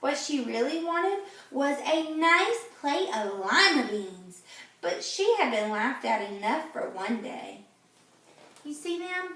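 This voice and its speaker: A woman reading a picture book aloud to children in a gentle, teacherly way, pausing to ask them questions. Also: high voice, distant mic, a little hiss or hum. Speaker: read-aloud host for children. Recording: very echoey room, off-mic.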